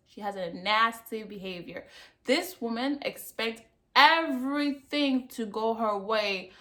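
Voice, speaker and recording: A woman's voice: mid-range voice, a bit bright. A woman talks straight to her viewers in a casual review, pressing each point and repeating it for weight. Her voice sits in a middle range for a woman. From Cameroon. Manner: emphatic, repeats herself for effect. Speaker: vlogger.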